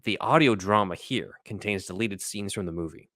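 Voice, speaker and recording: A man's voice: on the low side, normal speed, sharp tone, dry recording, clean studio sound. A man hosting a podcast, explaining things in a casual, chatty style. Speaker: podcast host.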